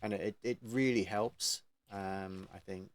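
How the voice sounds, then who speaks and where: A man with a fairly low voice talks as the host of a gaming channel. His delivery is casual and conversational, like an informal interview with a fellow player. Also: steady tone, slow.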